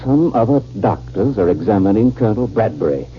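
An actor playing a nervous, formal army surgeon in a 1950s radio drama. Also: male voice, fairly low pitch, lively intonation, fairly quick, slight background noise, lightly acted. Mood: anxious. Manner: anxious, formal, emphatic repetition. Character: nervous male army surgeon, secretly an enemy agent. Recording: muffled, band-limited 1950s radio recording.

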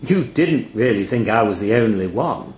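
An ancient raven demon played by a man speaks with the weary pomp of a retiring bishop. The delivery swoops widely in pitch, wistful and clerical, in a roomy, echoing storybook reading. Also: expressive, normal speed, darkish tone, close to an everyday voice. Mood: wistful, lofty. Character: ancient male talking toll raven, pompous, clerical, wistful bird demon. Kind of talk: audio short story character dialogue.

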